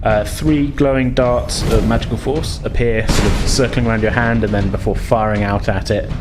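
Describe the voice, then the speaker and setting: A man with a fairly low voice runs a tabletop role-playing game as game master. He narrates and explains in a chatty, colloquial way. Music plays clearly behind him. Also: fairly even intonation, normal speed. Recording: background music under the voice.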